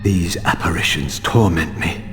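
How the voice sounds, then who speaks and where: An actor playing a vengeful, brooding undead wraith in a video game, murmuring inner thoughts and snapping back defiantly. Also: male voice, low-pitched, unhurried, music in the background. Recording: long hall reverb, game score behind.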